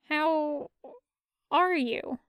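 A woman voicing an awkward, mild-mannered man in an audio story, speaking in a halting, apologetic way. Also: high voice, fairly bright tone, no room sound, heavily acted. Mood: uneasy. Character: awkward, mild-mannered male friend, conciliatory superhero.

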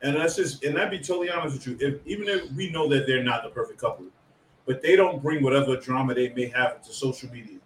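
A man's voice: mid-range voice, fast, recorded from a distance, a little hiss or hum. A man co-hosting a podcast, chatting casually in a laid-back, slangy way. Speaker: podcast co-host. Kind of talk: casual chat. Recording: roomy, off-mic.

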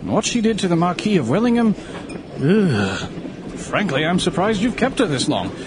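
Casting: tippling, flippant Victorian gentleman, high and swooping, exclamatory banter, radio play. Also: sing-song, normal speed. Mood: disdainful. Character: flippant, hard-drinking Victorian gentleman companion. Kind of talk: period radio drama acting. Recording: noisy train carriage ambience.

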